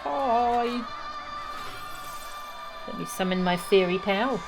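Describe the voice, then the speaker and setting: A woman's voice: mid-range voice, takes it slowly, music in the background, low-quality recording. A woman game streamer giving casual live commentary as she plays.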